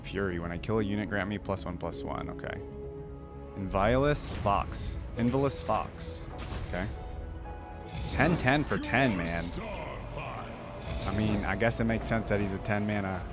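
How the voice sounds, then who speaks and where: A man's voice: fairly low pitch, measured pace, long pauses, music in the background. A man hosting a reaction video in casual commentary, thinking aloud with hedges and sometimes reading game text.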